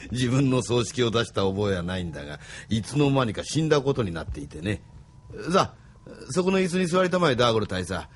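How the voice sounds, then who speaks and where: A man's voice: medium pitch, big pitch swings, a little fast. An actor playing a wry, unflappable man of seventy-five who talks in a teasing, avuncular way in an audio drama. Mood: genial, self-assured. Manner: avuncular, teasing, self-assured. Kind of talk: Japanese radio drama acting.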